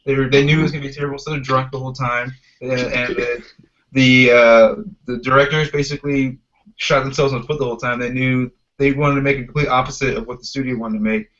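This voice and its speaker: A man in his thirties with a mid-pitched voice hosts a casual podcast chat. He rambles along conversationally and swears freely. The audio is muffled and lo-fi. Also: steady tone, quick pace, a bit bright. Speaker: podcast host. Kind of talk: casual chat about video game movies.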